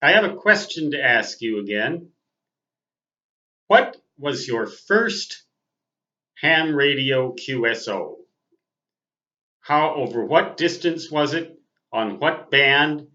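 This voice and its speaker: An American man in his sixties reminiscing casually as a host, speaking in a deliberate, precise way. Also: mid-range voice, animated, bright, forceful tone. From Minnesota, United States. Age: 60s. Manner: deliberate, precise, spells out terms phonetically. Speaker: host, amateur radio operator. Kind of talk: casual reminiscing monologue to viewers. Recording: dull, lo-fi sound.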